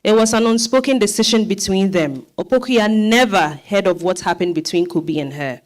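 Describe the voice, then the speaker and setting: Female stage narrator, Ghanaian accent, medium pitch, presenting to an audience. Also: normal speed. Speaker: director and narrator.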